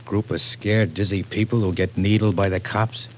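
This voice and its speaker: A man's voice: low voice, fairly even intonation, normal speed, a little mellow, close to an everyday voice. An American actor playing a surly, cynical tough guy, slangy and guarded, in an old radio drama. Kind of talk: 1940s radio drama acting. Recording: noisy old radio transcription.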